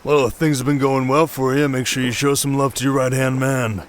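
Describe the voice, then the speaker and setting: A man's voice: medium pitch, level tone, brisk, a little hiss or hum, close to an everyday voice. A man playing a chummy, scheming oni aide in a fantasy audio roleplay, griping in casual, colloquial talk. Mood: friendly, curious.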